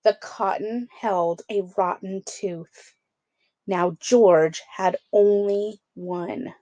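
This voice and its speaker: A woman's voice: medium pitch, fairly even intonation, extremely slow, warm tone, highs cut off, slight background noise. A woman teacher reading a picture book aloud, adding conversational explanations and check-in questions for children.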